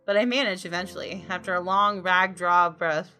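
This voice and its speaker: A woman, a gaming streamer reading visual novel narration aloud in an expressive, dramatic way. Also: fairly low pitch, normal speed. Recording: music behind the voice. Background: game music.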